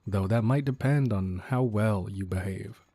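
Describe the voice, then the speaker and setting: A cocky, easygoing bandit leader, played by a man for an audio roleplay. His voice sits fairly low and is recorded dry, with no room sound. He teases with mock courtesy in a casual, folksy way, a rogue who is considerate underneath. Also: measured pace, warm tone, breathy edge, no echo. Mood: easygoing, amused. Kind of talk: audio roleplay acting addressed to the listener.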